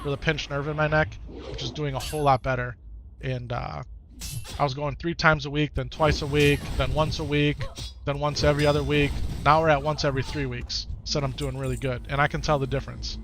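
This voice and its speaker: A man's voice: on the high side, flat delivery, a little fast, background noise. A man with an Upper Midwest American accent, chatting casually to his viewers during a live game stream and often repeating himself. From Wisconsin, United States. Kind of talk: casual live-stream chat over gameplay.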